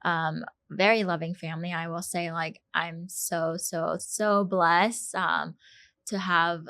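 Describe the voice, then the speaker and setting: A woman's voice: fairly low pitch, flat delivery, slow pace, vocal fry, pushed and bright, no echo. A young woman from South Korea, co-hosting a podcast, telling a personal story earnestly with many fillers and restarts. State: tearful, fighting back emotion. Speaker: podcast co-host. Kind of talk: casual personal conversation.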